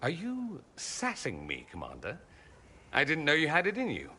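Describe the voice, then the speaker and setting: An arch witty mage for a video game, eloquent, really animated, echoing big hall. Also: male voice, fairly high pitch, normal speed, full character voice. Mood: smug, teasing. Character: witty, theatrical, self-assured male mage scholar from abroad. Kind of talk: video game cutscene acting. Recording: long hall reverb.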